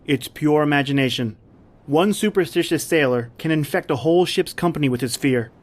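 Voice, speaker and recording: A man's voice: fairly high pitch, a little fast, natural voice. A man acting a confident, dismissive big-game hunter who narrates his ordeal in an old-time radio drama.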